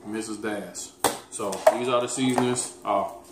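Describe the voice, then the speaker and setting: A man's voice: fairly low pitch, measured pace. Man in his 30s, casual cooking demo, laid-back and teasing, echoey kitchen, noisy background. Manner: relaxed, teasing, talks straight to viewers. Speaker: fitness vlogger cooking with his daughter. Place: home kitchen, indoors. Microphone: camera mic on the counter.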